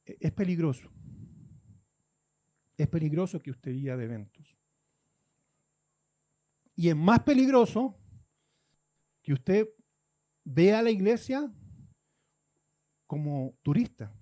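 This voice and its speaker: A man's voice: fairly high pitch, big pitch swings, slow, long pauses, warm tone. A man from Guatemala, preaching a conversational sermon and acting out churchgoers' complaints.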